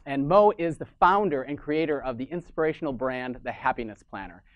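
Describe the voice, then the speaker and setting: Male show host, mid pitch, enthusiastic interviewer, dry booth sound. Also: brisk, dry recording, phone-quality sound. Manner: enthusiastic, repeats "amazing", some restarts. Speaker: podcast host. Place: Sydney, Australia. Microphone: video call.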